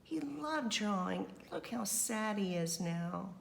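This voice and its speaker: A woman teacher reading a storybook aloud to children, expressive, with simple explanatory asides. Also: mid-range voice, slow pace, gravelly, slight background noise.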